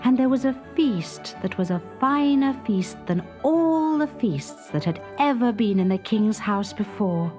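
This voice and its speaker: A woman narrating a children's story in a dramatic, expressive, suspenseful storybook style. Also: fairly high pitch, a little slow, hardly any pauses, faint music behind.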